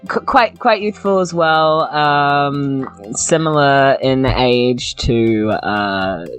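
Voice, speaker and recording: Very deep, slow-paced New woman. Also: clean tone, soft background music. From New Zealand. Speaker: player in a tabletop game. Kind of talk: casual in-character game chat.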